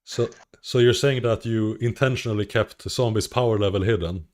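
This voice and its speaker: A man's voice: fairly low pitch, normal speed, a little mellow. A man with a Swedish accent, interviewing a player on a stream with friendly, teasing questions.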